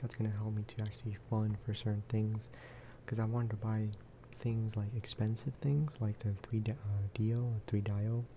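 Soft-spoken man with a flat, lowish voice. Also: normal speed, hardly any pauses, background noise. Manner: casual, rambling. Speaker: gaming video creator. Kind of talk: soft-spoken ASMR game commentary.

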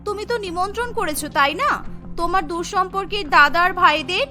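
A woman's voice: very high voice, fairly quick, bright, forceful tone, faint music behind. A woman voicing a spoiled, haughty young sister-in-law in a cartoon, snippy and demanding. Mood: whiny, demanding.

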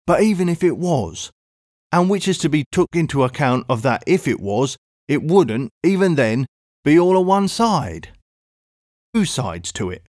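A man's voice: mid-range voice, darkish tone, no echo, studio quality, voice pushed up, natural voice. A man voicing a pleading, evasive working-class Londoner who rambles in thick dialect. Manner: wheedling, rambling, dialect-heavy. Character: cockney odd-job man, pleading, evasive.

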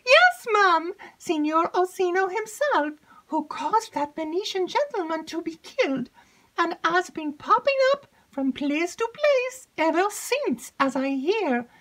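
A woman's voice: big pitch swings, a little slow, a bit bright, heavily acted. A woman doing a breathless chattering maid. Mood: excited. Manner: breathless, gushing, high-pitched. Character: excitable chattering young female servant.

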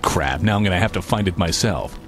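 An actor playing a cynical, sociopathic slacker antihero in a video game, with sarcastic, deadpan wisecracks in a gravelly voice. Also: male voice, a little fast, over music. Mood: pained grumbling. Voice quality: gravelly, low. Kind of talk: video game one-liners.

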